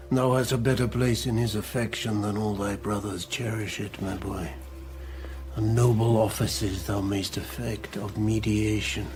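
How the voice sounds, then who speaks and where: Man acting sick old king, shakespeare radio play, grieving n weary, slow delivery w music behind. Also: lowish voice, lightly acted. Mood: reproachful, probing. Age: older. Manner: weary, brooding, declamatory. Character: ailing, grieving old king fretting over his heir.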